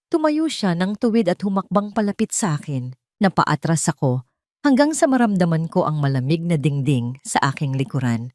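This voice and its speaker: A woman's voice: on the low side, sing-song, moderate pace, darkish tone, dry recording, studio quality. A woman storyteller reading dramatic, scripted first-person narration.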